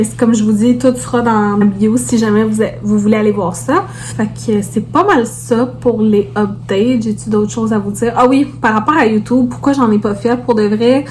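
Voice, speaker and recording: A woman's voice: fairly high pitch, a little mellow, a little hiss or hum. A woman with a Québécois French accent chatting casually to viewers as a vlogger, informally peppering her talk with English words.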